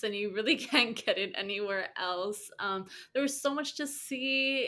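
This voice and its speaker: A young woman guest in a casual interview, bubbly and quick to laugh. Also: mid-range voice, fairly bright tone. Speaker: podcast guest.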